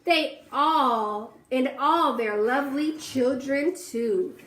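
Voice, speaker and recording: A woman teacher reading a rhyming storybook aloud to young children, pausing to ask the class questions. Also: high voice, fairly bright tone, roomy sound, a little hiss or hum, low-quality recording.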